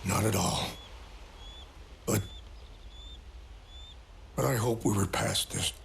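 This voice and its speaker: Solemn, slightly creaky dignified chief. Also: male voice, on the low side, heavily acted. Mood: bitter, grieving. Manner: measured, formal, grave. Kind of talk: naturalistic dramatic video game acting. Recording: loud dramatic music behind the voice.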